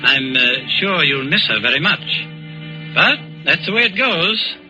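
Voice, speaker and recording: An actor playing a composed British intelligence officer, calm and dryly ironic, in a 1940s radio suspense drama. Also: male voice, fairly high pitch, a little slow, exaggerated voice. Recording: muffled old radio, faint music.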